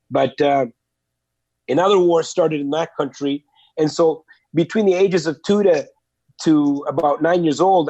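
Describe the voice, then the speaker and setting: A man's voice: fairly high pitch, warm tone, no room sound, lo-fi sound. A man in his forties, from El Salvador, earnestly telling his life story as a podcast guest, with many fillers and restarts.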